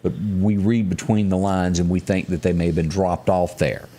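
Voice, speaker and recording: A man hosting a live local news talk show, speaking in a halting, folksy way and repeating phrases for emphasis. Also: deep voice, fairly even intonation, warm tone. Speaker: morning show host.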